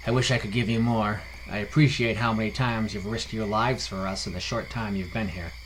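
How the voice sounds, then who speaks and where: A man voicing a fair, weary town leader who speaks deliberately and formally. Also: on the low side, fairly even intonation, fairly bright tone, slight background noise, natural voice, rough recording. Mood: grateful.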